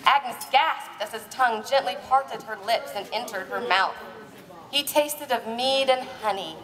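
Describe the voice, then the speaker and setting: Female live narrator, high and playful, theatrical comic romance reading, picked up from a distance. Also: measured pace, a bit bright. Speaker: narrator and presenter. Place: live stage at a bar party. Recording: echoey live hall, distant mic.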